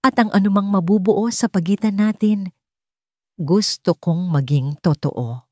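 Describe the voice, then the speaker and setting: An earnest, tender Filipino father in a story drama, voiced by a woman narrator. He speaks sincerely and deliberately, with a mellow tone in a dry, close recording. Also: medium pitch, dry recording, voice pushed up, close to an everyday voice. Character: earnest, tender adult man, wealthy devoted father.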